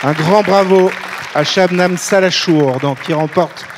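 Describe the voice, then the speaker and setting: A man hosts an award ceremony and announces the winners in an upbeat tone. His voice sits a little high. Faint crowd noise and a long hall reverb surround him. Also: unhurried. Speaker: host. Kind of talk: ceremony hosting.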